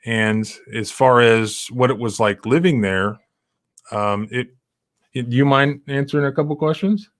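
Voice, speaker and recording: A man's voice: medium pitch, measured pace. A middle-aged man hosting a live stream, talking in a rambling, emphatic way with many restarts. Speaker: civil rights lawyer hosting a live stream. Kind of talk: casual live-stream commentary.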